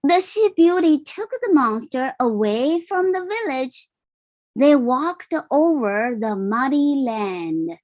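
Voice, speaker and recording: Slow, high-voiced woman reading a storybook. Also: lively intonation, a little mellow. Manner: simple, explanatory, checks in with listeners. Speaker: parent reading a picture book aloud. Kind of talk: read-aloud storytelling with asides.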